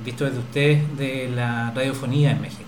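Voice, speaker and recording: A man's voice: mid-range voice, fairly even intonation, brisk, darkish tone. A man working as an interviewer, putting questions to guests in a conversational interview. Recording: roomy echo with faint background noise.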